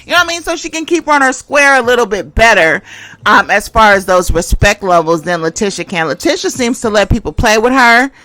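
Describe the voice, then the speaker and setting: A woman hosts a casual tarot commentary in a bright, forceful voice at an average pitch. She is conversational and emphatic. She often repeats a phrase to press her point. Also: lively intonation, a little fast, no room sound. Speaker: tarot reader and channel host.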